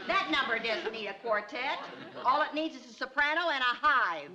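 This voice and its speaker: A woman playing a sharp-tongued, sarcastic singer in a 1960s sitcom. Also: high-pitched, expressive, moderate pace, heavy background noise. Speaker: quartet member. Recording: studio sitcom with music and audience laughter.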